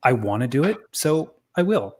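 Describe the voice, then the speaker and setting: A woman's voice: very deep voice, sing-song, normal speed, echoey room. A young woman hosting a casual interview in an encouraging, affirming manner. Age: young adult. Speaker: interviewer, podcast host.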